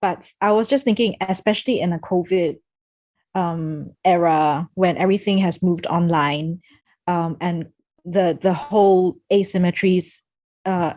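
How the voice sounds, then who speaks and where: A woman's voice: lowish voice, a little mellow. A woman with a Singaporean accent speaking reflectively as a panel moderator on a video call, hesitantly thinking aloud.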